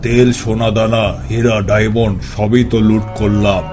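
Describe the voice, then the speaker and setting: A man's voice: on the low side, level tone, normal speed, music in the background, lightly acted. A man voicing a greedy, scheming bandit raider in a cartoon. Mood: restless, plotting. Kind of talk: Bengali cartoon dubbing.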